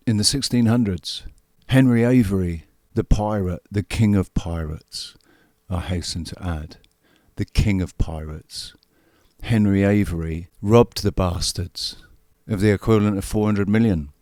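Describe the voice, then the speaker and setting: A man's voice: deep voice, unhurried, darkish tone, no room sound, studio quality. A man in his forties giving heated, profane political commentary as the host. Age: 40s. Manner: angry, profane, repetitive emphasis. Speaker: show host commenting on a played clip.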